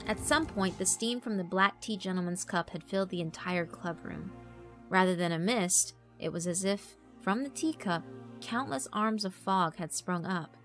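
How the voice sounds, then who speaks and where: A woman's voice: fairly low pitch, moderate pace. A woman narrator reading a scripted story in a dramatic storytelling style. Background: background music.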